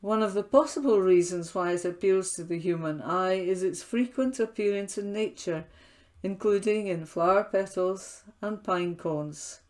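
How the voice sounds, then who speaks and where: A woman's voice: medium pitch, normal speed, darkish tone. A woman reading a newspaper feature aloud as a volunteer reader, recorded at home. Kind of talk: read-aloud feature article.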